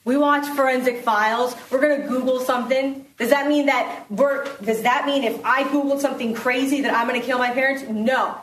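A woman's voice: sits high, fairly even intonation, fairly quick, few pauses, distant mic. A woman prosecutor giving a closing argument in court, putting rhetorical questions to the jury. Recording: roomy courtroom with faint noise.

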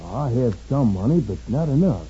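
An actor playing an earnest, plain-spoken young soldier in a 1950s radio western. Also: male voice, medium pitch, lively intonation, moderate pace, warm tone, slightly rough. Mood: smooth, grave. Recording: dull 1950s radio recording.

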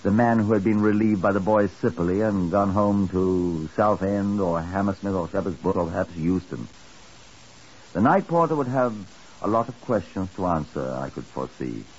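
An actor playing a dry, methodical British chief inspector in a 1950s radio drama, measured, deliberate and faintly sardonic. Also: male voice, low voice, darkish tone. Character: dry, methodical police chief inspector narrating his case. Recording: muffled, hissy 1950s radio recording.

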